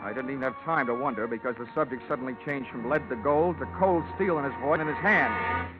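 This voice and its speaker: A man's voice: medium pitch, quick pace, natural voice. A man playing a tough, self-assured insurance investigator in a radio drama, narrating and talking in a dry, sardonic, hard-boiled way. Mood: narrating, brisk. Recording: tinny old radio recording with music.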